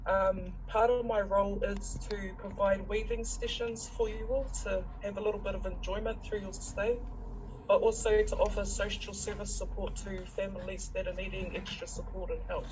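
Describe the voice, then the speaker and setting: A woman with a New Zealand accent guides a group through a weaving lesson, chatty and encouraging, pausing on little ums. Her voice is mellow and relaxed. A faint hum of noise sits behind her. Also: medium pitch, rough recording. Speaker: weaving facilitator and social worker. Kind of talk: friendly instruction in a group session.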